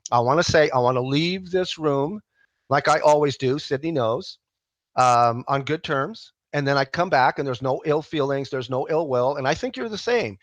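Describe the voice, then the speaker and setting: A man with a loud, booming voice debating on a video call. He is emphatic and repeats himself to close the argument. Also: normal speed, no echo, muffled recording. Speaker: atheist debater. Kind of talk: heated casual debate.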